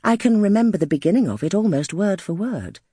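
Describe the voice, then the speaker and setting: A woman narrating first-person literary fiction as an audiobook, in a wry, expressive storytelling manner. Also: medium pitch, a little fast, husky, no room sound, clean studio sound.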